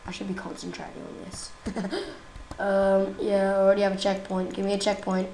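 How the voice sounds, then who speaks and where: A young woman with a croaky voice from a cold, chatting casually and giggling while she plays a game. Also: mid-range voice, completely flat delivery, moderate pace, darkish tone, roomy sound, slight background noise, rough recording. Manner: chatty, giggly, easily exasperated. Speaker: gaming YouTuber. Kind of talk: casual gameplay commentary.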